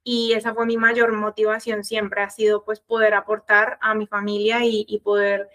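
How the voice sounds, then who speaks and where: A woman's voice: mid-range voice, level tone, moderate pace, a bit bright. A woman in her thirties with a Colombian Spanish accent, presenting an online workshop conversationally as a sales coach.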